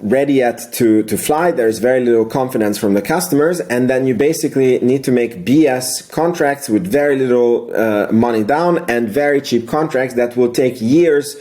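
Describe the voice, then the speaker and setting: Man, soft mellow tone, mid-range pitch, casual recap commentary, rambling, emphatic repeats. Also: moderate pace, hardly any pauses. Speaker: video host, amateur investor commentator.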